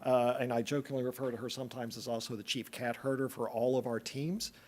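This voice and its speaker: A man's voice: medium pitch, fairly even intonation, fairly quick, a little mellow. A man with an East Tennessee accent, a planning consultant giving a chatty, joking update at a public meeting, with many fillers.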